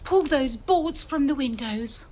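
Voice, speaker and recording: A woman's voice: sits high, expressive, slow pace, a little mellow. A woman playing an earnest, repentant young newcomer in an audio drama about a manor house. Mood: urgent.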